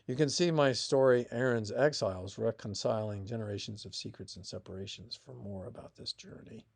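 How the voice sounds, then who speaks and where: A man narrating a scripted piece of family history as its author, in a measured reading with emphatic phrase openings that fall away. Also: fairly low pitch, vocal fry. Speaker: author reading own essay.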